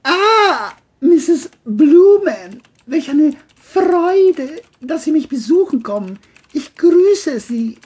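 A woman's voice: sits high, very animated, very slow, darkish tone, pitched above the performer's own voice, heavily acted. A woman voicing an abusive, sneering man, a mean bully with a menacing, mocking manner, in an audio crime drama. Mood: falsely friendly.

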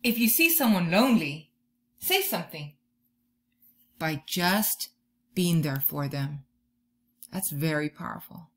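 Lady teacher reading a picture book to kids, slow n bright, super lively pitch. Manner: expressive, draws out key words, asks listeners questions. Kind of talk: expressive children's read-aloud. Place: bedroom or room at home, indoors.

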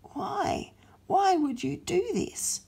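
A woman voicing Satan, a cunning fallen angel who sneers and turns shrill and desperate. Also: animated, very slow, creaky, mellow, heavily acted.

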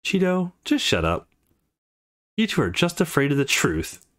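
Man reading as a brash, animated young woman. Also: fairly high pitch, measured pace, voice pushed up, heavily acted. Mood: bratty, insistent. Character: brash, teasing, excitable young woman in visual novel.

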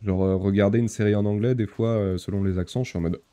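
Casual streamer type, man in his thirties, low and mellow, hesitant with fillers, chatting to viewers from a small room. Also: steady tone, fast. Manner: chatty, hesitant, many fillers, reads chat aloud. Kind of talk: casual gaming stream chat. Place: small room with purple lighting.

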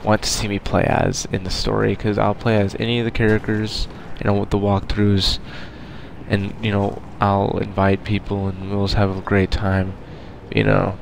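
A young man with a nasal voice, sounding sick and tired, talking quietly in a casual gaming voice-over. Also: lowish voice, completely flat delivery, normal speed, vocal fry, slight background noise. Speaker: gaming YouTuber. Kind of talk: casual gameplay voice-over commentary.

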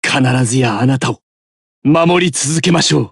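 A man's voice: medium pitch, unhurried, breathy edge, dry recording, clean studio sound. A man playing a noble, devoted young knight in an anime game, earnest and solemn. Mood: solemn devotion. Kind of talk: anime game battle acting.

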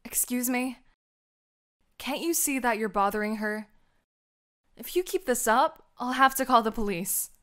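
A woman's voice: fairly high pitch, measured pace, long pauses, fairly bright tone. A young woman acting an earnest tomboyish teenage girl in a manga fandub, with inner monologue. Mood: stern. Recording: roomy voice with music behind.

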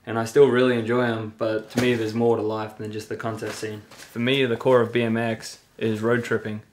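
A young man narrating his own road trip in a relaxed, earnest voice-over. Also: mid-range voice, slight background noise.

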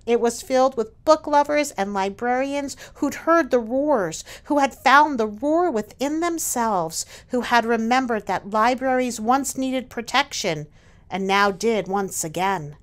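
A woman reading a children's picture book aloud as its author, expressively, with dramatic emphasis on key words. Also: on the high side. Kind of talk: expressive storybook read-aloud.

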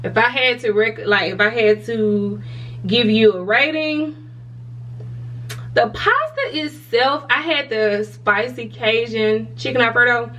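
A woman's voice: on the high side, slow pace, fairly bright tone, recorded from a distance, dull audio, a little hiss or hum. A young woman, talking frankly to camera in a hotel room, laughing often. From Southern US. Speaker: vlogger. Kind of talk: casual chat to camera.

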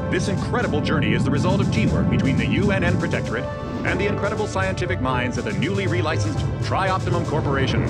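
An actor playing an upbeat, pompous corporate announcer in grand promotional narration for a sci-fi game. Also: male voice, high voice. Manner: pompous, theatrical. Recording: loud music under the voice.

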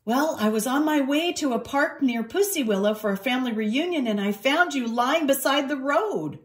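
A woman voicing a polite, kindly male cat farmer in a storybook, speaking gently and earnestly. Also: sits high, expressive, moderate pace, voice pushed up.

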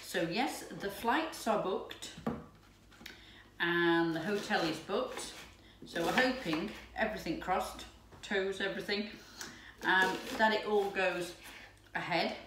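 A woman in her fifties chatting excitedly to camera while cooking in her home kitchen. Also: medium pitch, slow. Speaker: lifestyle vlogger. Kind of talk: casual chat to camera. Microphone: camera's built-in mic from a few steps away. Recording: echoey room, distant mic, background noise.

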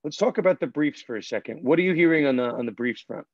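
A man's voice: fairly high pitch, animated, quick pace, no echo. A man in his thirties co-hosting a casual legal podcast from a law office. He talks in a friendly way, steers the talk, and uses a lot of fillers. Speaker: podcast co-host, lawyer. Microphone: laptop webcam video call. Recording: dry, slightly dull video-call audio.

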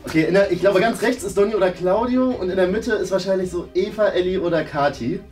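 A man's voice: high voice, fast, a little mellow, soft background music. A man in his thirties with a German accent, casually hosting and commenting on a guessing game in a room. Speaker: host and contestant of a guessing game show. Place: medium-sized indoor room.

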